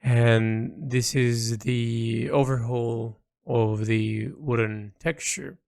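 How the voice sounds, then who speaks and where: A man giving informal audio log commentary on his own artwork, speaking in a halting, reflective way with pauses mid-phrase. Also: medium pitch, steady tone, gravelly, a little mellow. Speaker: artist narrating own project log.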